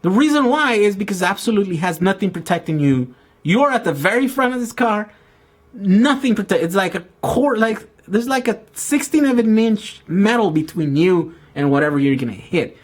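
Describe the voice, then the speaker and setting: Rambling man with a higher voice. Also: animated, normal speed. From Brazil. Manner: rambling, many restarts and fillers. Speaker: vlogger answering live viewer questions. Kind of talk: casual live Q&A chat. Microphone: outdoor camera with mic.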